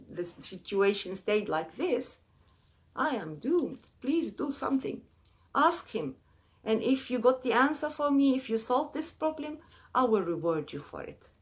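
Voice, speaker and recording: A man's voice: very high voice, fairly bright tone, pitched above the performer's own voice, exaggerated voice. A woman voicing a worried farmer who insists on a bargain and pleads for help. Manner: anxious, insistent. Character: worried middle-aged male farmer, anxious, bargaining.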